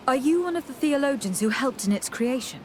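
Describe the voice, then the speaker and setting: A courteous young noblewoman diplomat from a fantasy video game. Her voice is fairly high, measured and inquisitive, with clear ambience behind it. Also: lively intonation, darkish tone, slight background noise. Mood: polite. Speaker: investigator.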